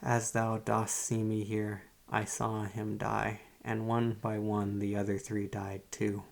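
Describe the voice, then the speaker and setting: A man playing a calm, morbidly literary psychiatrist in an ASMR roleplay, speaking in a measured, solemn and quietly controlling way. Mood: solemn, grave. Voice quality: low, gravelly. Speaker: therapist. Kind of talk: ASMR roleplay, poetry recitation and monologue.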